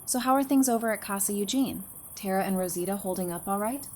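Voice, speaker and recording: A woman's voice: mid-range voice, quick pace, a little mellow, slight background noise, close to an everyday voice. A woman acting a friendly, teasing survivor in an audio drama, with a casual, colloquial way of talking. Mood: relaxed, warm.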